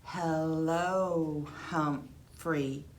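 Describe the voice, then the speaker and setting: A woman's voice: deep voice, steady tone, drawn-out words, slight background noise, heavily acted. A woman voicing a shy, polite mother who speaks careful learner's phrases in a children's story. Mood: hesitant.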